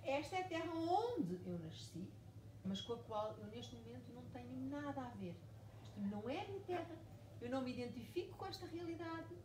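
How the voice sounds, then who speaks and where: A woman in her forties from Mozambique, a writer speaking at a live book event, telling her story emphatically with lots of repetition. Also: a little high. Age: 40s. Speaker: author guest. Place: bookshop event room. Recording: echoey room, far mic, noisy.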